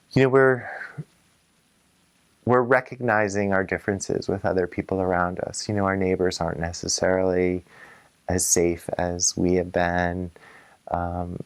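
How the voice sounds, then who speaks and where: A woman reflecting in a personal interview in a measured, thoughtful way, choosing words carefully. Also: a bass voice, clear, resonant tone. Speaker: local resident interviewee.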